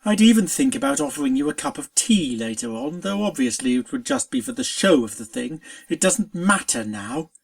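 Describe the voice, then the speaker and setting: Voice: mid-range voice, very animated, normal speed, vocal fry, hardly any pauses, heavily acted. A narrator voicing a put-upon, weary spirit pony in a storybook, exasperated and pleading.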